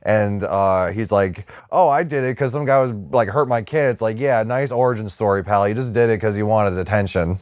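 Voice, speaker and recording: A man's voice: on the low side, a little fast, few pauses, darkish tone, clear, resonant tone, no room sound. A man co-hosting a podcast, riffing in casual, irreverent, sweary banter. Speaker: podcast co-host.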